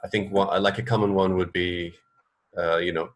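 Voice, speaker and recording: A man's voice: sits low, little pitch movement, moderate pace. A man co-hosting a radio show in casual chat, explaining things in a rambling, hesitant way.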